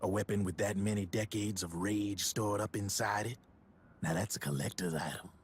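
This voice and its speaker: An actor playing a sly middle-aged arms dealer, talking in a wry, husky storytelling voice. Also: male voice, fairly low pitch, moderate pace.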